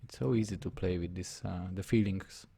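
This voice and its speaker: A man's voice: low-pitched, steady tone, moderate pace, creaky, warm tone, husky. A man with a Hungarian accent, a native Hungarian speaker, talking through a live drawing tutorial step by step and often checking that the viewer follows. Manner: narrates his on-screen steps, often checks the viewer follows.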